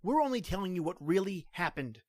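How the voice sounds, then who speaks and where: An actor playing an earnest young state trooper who insists, with a high voice, that his strange story is true. The sound is dry and close, as in a small studio. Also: big pitch swings, fairly quick, a little mellow, no room sound. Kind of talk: old-time radio drama reading.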